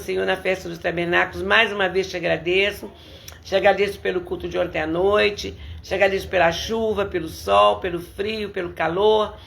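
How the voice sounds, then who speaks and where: A hoarse woman pastor with a Brazilian Portuguese accent, greeting viewers by name and praying fervently in a livestreamed service. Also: mid-range voice, fairly bright tone.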